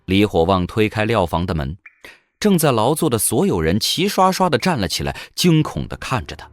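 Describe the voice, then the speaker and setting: A man narrates a fantasy story in a deep voice. His scripted delivery is dramatic, with lively rises and falls. Faint music plays behind him. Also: normal speed. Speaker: audiobook narrator.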